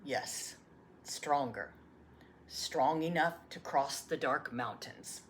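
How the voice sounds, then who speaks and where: Woman acting as an old storybook grandfather, lowered voice, unhurried, solemn, loving. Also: a little hiss or hum. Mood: gentle. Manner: slow, solemn, tender. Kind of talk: storybook read-aloud.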